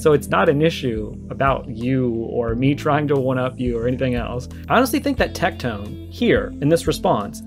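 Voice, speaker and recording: A man giving conversational response commentary, arguing emphatically and repeating his points for stress. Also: medium pitch. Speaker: video creator and host. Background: music with plucked guitar.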